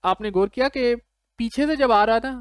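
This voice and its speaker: A man's voice: very high-pitched, normal speed, clean tone, dry recording. A man presenting a programming tutorial in a casual, step-by-step, conversational way, mixing English technical terms into his explanations. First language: Urdu.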